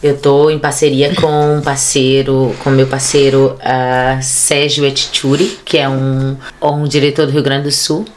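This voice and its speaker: A woman's voice: extremely low pitch, slight background noise. A Brazilian woman in her thirties with a Brazilian Portuguese accent, chatting animatedly as a podcast guest. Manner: animated, fast bursts of speech. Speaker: podcast guest, theater artist. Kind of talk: casual conversation.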